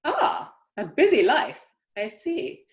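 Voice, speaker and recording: A woman's voice: high voice, big pitch swings, slow, heavily acted. A woman playing a snobbish, imperious older upper-class aunt in an amateur play reading, haughty and emphatic, stumbling now and then. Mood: brisk, disapproving. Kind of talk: amateur play read-through.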